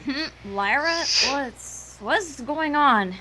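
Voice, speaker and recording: A very high-pitched, worried female pony, acted out by a man. The storybook character sounds groggy and hesitant, with a bright tone well above a normal male voice. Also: animated, very slow, slight background noise, full character voice, poor audio. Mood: uneasy.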